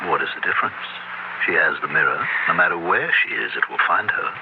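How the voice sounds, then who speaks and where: Cold, low-voiced occultist. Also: male voice, lively intonation, brisk, creaky. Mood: cold, controlled. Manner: curt, solemn, incantatory. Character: cold, commanding male occultist performing dark ritual. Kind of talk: 1970s radio horror drama acting. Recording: noisy, muffled 1970s radio recording.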